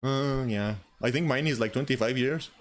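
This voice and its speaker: A man's voice: mid-range voice, normal speed. A man in his late twenties chatting casually and comically as a streamer, complaining in an exasperated way and swearing freely. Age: late 20s. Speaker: online streamer, podcast host. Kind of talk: casual comedic chat.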